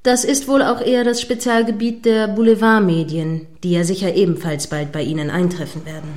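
A woman's voice: mid-range voice, fairly even intonation, brisk, a little mellow, lightly acted. Woman acting a stern female radio anchor. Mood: businesslike, corrective. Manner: stern, pointed, formally articulate. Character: prim, combative female radio news anchor, irritated and sceptical. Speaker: radio news presenter. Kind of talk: radio play news broadcast dialogue.

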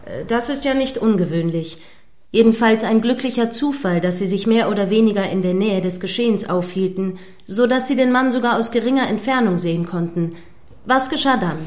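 A woman playing an earnest radio news anchor in a radio play, with a formal, measured way of questioning. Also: medium pitch, a little mellow, lightly acted. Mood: earnest, probing. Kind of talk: satirical radio play as live news broadcast.

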